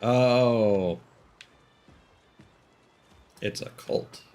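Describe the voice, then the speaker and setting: A man's voice: medium pitch, drawn-out words, warm tone, smooth. A man streaming a video game, thinking aloud in casual commentary that rambles through frequent ums and restarts.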